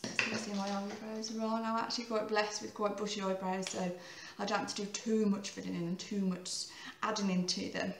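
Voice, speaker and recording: A woman in her thirties with a croaky voice, giving a chatty, rambling makeup tutorial and often correcting herself. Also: medium pitch, little pitch movement, brisk, reverberant, slight background noise. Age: 30s.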